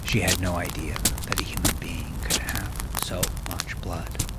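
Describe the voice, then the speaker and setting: A man narrating dark fiction in an intense, theatrical way, often sinking to a hushed murmur. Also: low-pitched, steady tone, a little slow, heavy background noise. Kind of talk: dramatic scripted fiction narration. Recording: loud music under the voice. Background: loud music.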